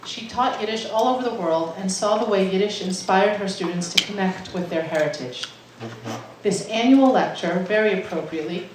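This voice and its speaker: A woman's voice: medium pitch, a little mellow, slight background noise. Woman giving a speech in an echoey hall. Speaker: lecture host giving opening remarks. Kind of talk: prepared welcome speech. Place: university lecture hall.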